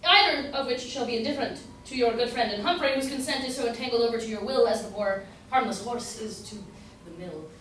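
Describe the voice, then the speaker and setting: A woman playing a hapless, pompous gentleman suitor in an amateur staged reading of an old verse comedy, in a florid, declamatory way. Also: fairly high pitch, fast, close to an everyday voice. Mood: courteous, dithering. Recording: echoey room from far off, faint noise.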